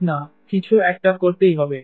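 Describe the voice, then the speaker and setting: A man's voice: high-pitched, fairly even intonation, normal speed, no room sound, narrow, tinny audio, natural voice. A man voicing a struggling, stubborn village fish seller in a cartoon, with a plaintive, complaining manner. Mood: worried, complaining. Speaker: cartoon voice actor. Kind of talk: Bengali cartoon story acting.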